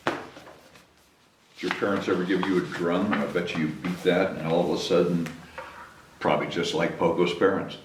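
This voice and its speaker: A man's voice: low voice, steady tone, normal speed, pauses often. A man reading a children's story aloud expressively, with chatty asides to young listeners. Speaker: storybook reader, city mayor. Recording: roomy echo, distant mic, faint noise.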